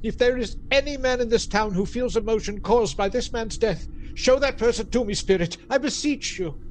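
Anguished high-voiced old miser. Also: male voice, normal speed, a little hiss or hum. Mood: pleading. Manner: anguished, beseeching. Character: frightened, pleading old miser seeking mercy. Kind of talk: theatrical radio drama acting.

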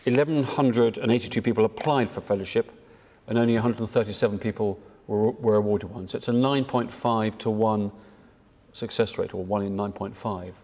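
A man's voice: fairly low pitch, steady tone, fairly quick, a little mellow. Older British man speaking in an echoing hall. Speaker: charity director addressing seminar. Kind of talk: prepared public address. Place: indoor hall, at a lectern. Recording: reverberant hall.

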